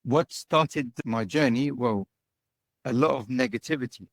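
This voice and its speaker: A man's voice: medium pitch, measured pace, dry recording. A middle-aged man from the UK, a guest giving chatty, casual interview answers and tagging his points with "right?". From United Kingdom. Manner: chatty, tags points with "right?" and "you know". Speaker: guest, digital nomad and business owner.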